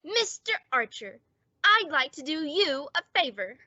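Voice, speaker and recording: A woman playing an earnest, dim but scheming teenage boy in an old-time radio sitcom, pitching silly arguments very formally. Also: big pitch swings, measured pace, noisy background. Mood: hopeful, wheedling. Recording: dull, lo-fi audio.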